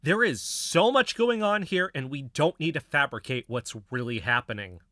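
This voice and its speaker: An impassioned, bright-voiced conspiracy theorist. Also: male voice, fairly high pitch, expressive, normal speed, dry recording, studio quality. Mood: defensive, irritated. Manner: emphatic, insistent, building speeches. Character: impassioned male conspiracy theorist, defensive and stubborn. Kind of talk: scripted audio fiction dialogue.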